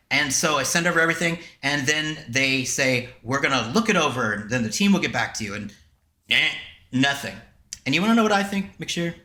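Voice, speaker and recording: A man's voice: medium pitch, sharp tone, roomy sound. A man chatting casually as a podcast host, wry, rambling and playful. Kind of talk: casual chat.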